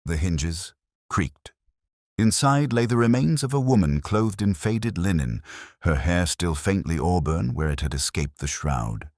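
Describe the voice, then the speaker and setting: Very deep, husky male story narrator. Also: expressive, measured pace, darkish tone, dry recording, clean studio sound. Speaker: audiobook-style narrator. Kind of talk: scripted first-person mystery story narration.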